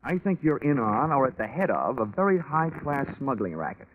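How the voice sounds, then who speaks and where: An actor playing a tough, wisecracking American insurance investigator with a wry, deadpan, hard-boiled delivery in 1940s radio style. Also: male voice, medium pitch, normal speed, slight background noise, lightly acted. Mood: wry, brisk. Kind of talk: 1940s radio detective acting and narration. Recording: tinny band-limited 1940s radio recording.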